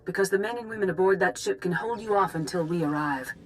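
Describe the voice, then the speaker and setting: An actress playing a calm, authoritative Jedi grand master in a video game, grave and formal. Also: female voice, lowish voice, fast, a little hiss or hum, close to an everyday voice, rough recording. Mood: warning.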